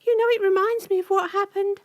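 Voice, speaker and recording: A woman's voice: extremely high pitch, steady tone, fast, dry recording, clean studio sound, pitched above the performer's own voice. A woman voicing a frightened young servant girl, pleading melodramatically in a comic audio drama.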